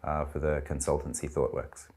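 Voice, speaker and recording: An Australian man, a technology head, explaining ideas in a measured, thoughtful way during a conference interview. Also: extremely low pitch, flat delivery, a little mellow, breathy edge.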